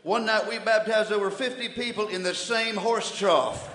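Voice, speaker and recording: Man acting as indignant comic preacher, high-pitched voice, emphatic pulpit delivery, mock sermon, big reverberant hall. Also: steady tone, moderate pace, sharp tone. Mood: fired-up. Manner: emphatic pulpit delivery, swinging from muttered asides to hollering. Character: blustering, indignant old-fashioned revival preacher, male. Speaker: comic preacher on a variety stage show. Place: large indoor theatre stage before an audience. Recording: echoey hall, live stage, audience noise.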